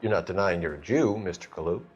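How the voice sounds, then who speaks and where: An actor playing an earnest, hard-edged young American police detective in a video game, formal and pressing. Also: male voice, low-pitched, fast talker, slightly rough, dull audio, faint music behind. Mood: goading, forceful. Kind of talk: video game cutscene acting.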